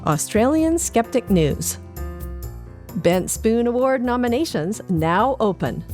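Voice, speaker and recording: A woman's voice: on the high side, big pitch swings, slow, music in the background. A woman with a Canadian accent reading a scripted newsletter in a light-hearted way for a podcast.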